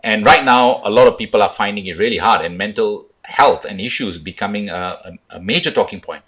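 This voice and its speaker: Man, sports podcast host, lowish voice, chatty, rambling questions. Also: a little fast, a bit bright, breathy edge. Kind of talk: casual sports interview.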